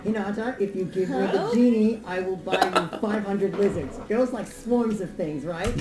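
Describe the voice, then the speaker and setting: A woman's voice: medium pitch, moderate pace, a crowd in the background, close to an everyday voice. A woman voicing an eager, pushy young ninja in a cartoon parody, wheedling and informal. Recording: music behind, cartoon soundtrack.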